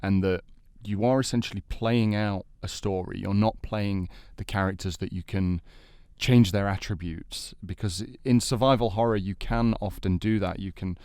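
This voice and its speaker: A man hosting and debating on a casual panel show, explaining his picks in a measured way. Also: on the low side, steady tone, a little mellow, clear, resonant tone, dry recording, clean studio sound. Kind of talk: casual panel debate about games and film.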